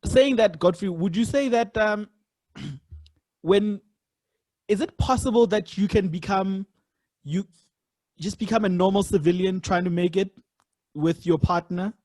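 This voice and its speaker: A man hosting a conversational interview, probing with hypothetical questions and pausing as he builds them. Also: high-pitched, darkish tone, no echo. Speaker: podcast host, interviewer.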